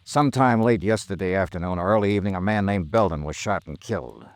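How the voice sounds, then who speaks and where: An actor playing an earnest, insistent American investigator in an old-time radio drama, speaking in urgent, clipped phrases. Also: male voice, low-pitched, fast talker, dry recording. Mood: firm, urgent. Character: insistent, earnest male insurance investigator, gentle but hard-boiled.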